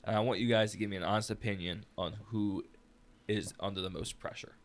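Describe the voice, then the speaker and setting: Casual male podcast host, slightly low voice. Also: fairly even intonation, brisk. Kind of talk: casual group discussion.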